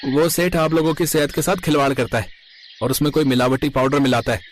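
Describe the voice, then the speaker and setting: A man playing an earnest, humble, plain-spoken villager in an animated moral story. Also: a little high, fairly quick, slight background noise, natural voice. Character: earnest, humble village sweet seller or helper.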